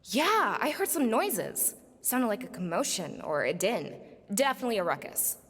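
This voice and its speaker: Quirky alley witness, wildly animated. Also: female voice, medium pitch, normal speed, husky, in a large hall. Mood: puzzled. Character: quirky, rambling female city-alley witness. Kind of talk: video game voice acting.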